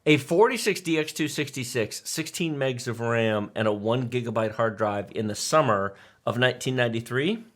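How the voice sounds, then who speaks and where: Male co-host, 40s, casual live stream storyteller with very lively, swooping intonation and emphatic, enthusiastic delivery. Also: medium pitch, normal speed. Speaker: tech show co-host.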